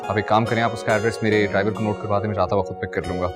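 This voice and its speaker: A man's voice: on the low side, fairly even intonation, rapid-fire, over loud music, close to an everyday voice. An actor playing a stern, demanding company boss, curt and authoritative, dropping English phrases into his speech. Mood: businesslike. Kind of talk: TV comedy drama acting.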